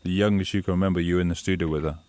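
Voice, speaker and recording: A man's voice: low voice, fairly even intonation, very fast, darkish tone. A man hosting a radio show, interviewing a guest in a chatty, enthusiastic way and calling him "man" again and again.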